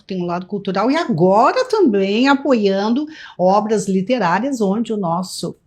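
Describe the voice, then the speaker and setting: A woman hosts a talk show. She introduces topics and guests smoothly in an upbeat, promotional way. She steers each segment forward. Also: mid-range voice.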